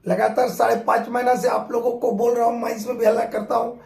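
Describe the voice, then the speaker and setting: Hoarse man teaching, echoey room. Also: very high voice, flat delivery, quick pace, darkish tone, soft background music. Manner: conversational teacher, addresses students fondly, repeats key points. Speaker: mining exam tutor. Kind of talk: explaining exam questions in an online class.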